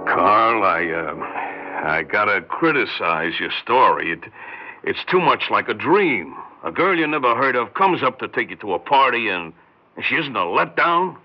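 Low-voiced, skeptical, plainspoken buddy. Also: male voice, sing-song, moderate pace. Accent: American. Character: down-to-earth, skeptical male friend, plainspoken and reassuring. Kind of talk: 1960s radio drama acting. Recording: dull 1960s radio recording.

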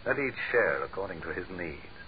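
Deep-voiced sneering tyrant facing death, old-time radio drama, faint hiss. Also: male voice, big pitch swings. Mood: mocking. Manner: sneering, commanding, measured. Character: doomed male dictator, contemptuous and cold. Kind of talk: 1940s radio drama acting. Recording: muffled 1940s radio broadcast.

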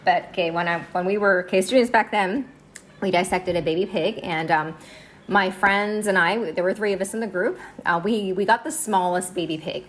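A woman in her forties, a high school science teacher, telling her story in a casual interview with many fillers and easy laughs. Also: normal speed. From United States. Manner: chatty, many fillers and "okay"s, laughs easily.

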